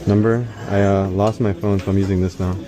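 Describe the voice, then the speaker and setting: A man's voice: low voice, level tone, fairly quick. A man from Miami approaching a woman, flirting hesitantly with stammers and fillers. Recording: street recording with crowd noise. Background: busy crowd.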